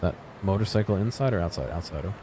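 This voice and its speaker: Male gaming commentator, very low pitch, low-key and musing, unscripted chat over faint background noise. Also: expressive, rapid-fire. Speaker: gaming video host. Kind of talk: casual off-the-cuff game exploration commentary.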